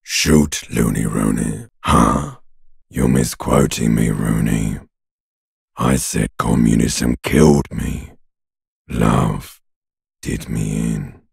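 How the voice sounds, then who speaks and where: A man voicing a morbid, taunting undead creature in a video game, with a gravelly, raspy growl and a drawling, crude, mockingly intimate manner. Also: a bass voice, slow pace, darkish tone, dry recording, clean studio sound, heavily acted. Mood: sardonic, morbid. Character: male ancient reptilian brain and talking corpse, taunting, morbid. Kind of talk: video game character voice acting.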